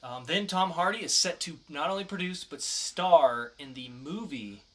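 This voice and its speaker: A man's voice: on the high side, lively intonation, moderate pace, sharp tone. A man co-hosting a podcast, talking casually through pop-culture news. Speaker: podcast co-host.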